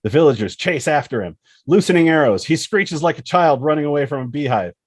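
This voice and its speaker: A man's voice: fairly high pitch, expressive, brisk, dry recording. A man reading a screenplay's action lines as dramatic narration, expressively and in short punchy phrases. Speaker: screenplay reader.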